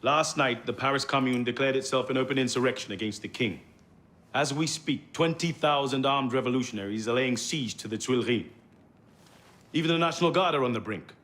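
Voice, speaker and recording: Actor as stern, grave council master. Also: male voice, medium pitch, brisk, a bit bright, lightly acted. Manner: curt, solemn. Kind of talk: dramatic video game voice acting.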